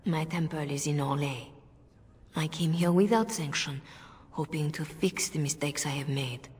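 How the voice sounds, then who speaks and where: Revered mother played by a woman, very low soft voice, solemn and persuasive game dialogue in a reverberant hall. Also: lightly acted. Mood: calm, formal. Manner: measured, solemn. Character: composed, earnest Orlesian revered mother, gently persuasive. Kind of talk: video game cutscene acting. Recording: long reverberant hall echo.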